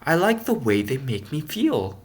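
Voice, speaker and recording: A man voicing a small, meek wooden toy person in a storybook, with a quiet, hesitant small voice. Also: huge pitch swings, measured pace, breathy edge, heavily acted. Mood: sheepish. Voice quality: small, higher voice.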